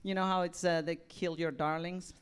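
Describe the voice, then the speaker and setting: A woman speaking as a theatre program director leading an informal feedback talkback, halting and rephrasing as she thinks aloud. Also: lowish voice, little pitch movement, clear, resonant tone. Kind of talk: informal post-reading talkback with audience.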